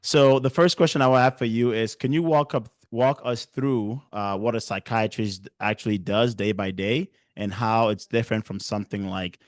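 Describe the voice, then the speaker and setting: A man's voice: on the low side, steady tone, moderate pace, hardly any pauses, no echo, clean studio sound. A man hosting a casual interview, talking loosely with many fillers and restarts. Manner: filler-heavy, restarts often. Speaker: host.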